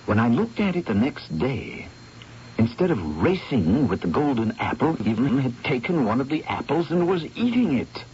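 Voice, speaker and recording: An actor playing a troubled, obsessive painter in a radio mystery drama, speaking with theatrical intensity and hesitant repetitions. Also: male voice, mid-range voice, big pitch swings, normal speed, darkish tone, slight background noise. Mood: uneasy, insistent. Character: troubled, obsessive male painter, fearful and awestruck. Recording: muffled vintage radio broadcast, music underneath.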